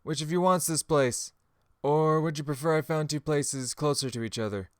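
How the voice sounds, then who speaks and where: A man's voice: on the high side, moderate pace, clear, resonant tone, no room sound, studio quality, natural voice. A man playing a frank, easygoing guild medic in a fantasy game audiobook. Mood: breezy, joking.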